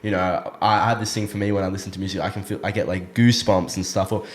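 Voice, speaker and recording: Low-voiced podcast host. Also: male voice, steady tone, very fast. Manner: earnest, many "um" fillers. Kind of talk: casual podcast interview.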